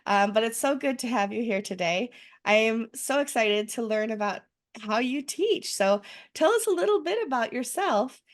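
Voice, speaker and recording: A woman's voice: fairly high pitch, animated, normal speed. A woman hosting a podcast, introducing a guest in a bubbly, effusive way. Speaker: podcast host. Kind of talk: podcast interview.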